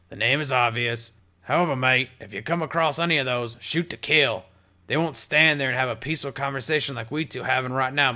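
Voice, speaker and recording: A man's voice: medium pitch, bright, forceful tone. A man voicing a jovial old male ghoul pony bartender, chummy and calling everyone mate. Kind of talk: audiobook character voice.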